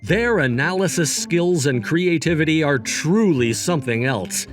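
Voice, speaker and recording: A man's voice: a little high, animated, a little slow, soft background music. A man voicing a composed, principled young company CEO in a manga dub, speaking in a firm, explanatory way. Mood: calm, appreciative. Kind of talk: manga dub narration and dialogue.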